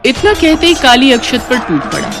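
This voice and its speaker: A woman's voice: sits high, big pitch swings, moderate pace, over music. A woman narrating a scripted fantasy story in a dramatic style.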